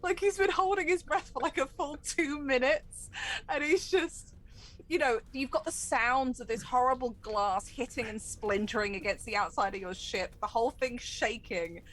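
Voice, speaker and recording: A woman's voice: sits high, normal speed. A woman running a tabletop role-playing game, improvising narration and explaining rules in a conversational way. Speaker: game master. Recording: background music under the voice.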